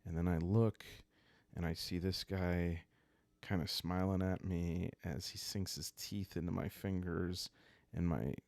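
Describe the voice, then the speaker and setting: Male solo RPG host, ASMR storytelling: deep, unhurried, calm, musing, hesitant. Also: creaky, dry recording. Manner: hesitant, musing, thinking aloud. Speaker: solo roleplaying game host.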